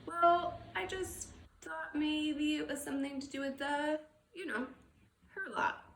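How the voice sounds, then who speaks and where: A woman's voice: high-pitched, gravelly, fairly bright tone, pitched above the performer's own voice, exaggerated voice. Lady doing a hesitant mumbly middle-aged husband, storybook read, nervous, echoey room. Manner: halting, mumbling, falsely casual. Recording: roomy echo with faint background noise.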